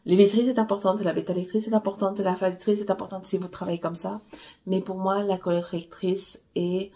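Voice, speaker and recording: A woman's voice: mid-range voice, level tone, brisk, dark tone. A woman whose first language is Portuguese, a romance author giving frank, direct writing advice in a casual way with frequent hesitations. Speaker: romance author coaching writers.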